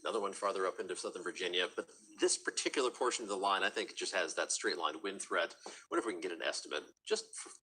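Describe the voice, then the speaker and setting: A man's voice: low voice, fast talker, sharp tone, breathy edge. A man working as a TV meteorologist, giving live severe weather commentary in a conversational, explanatory way.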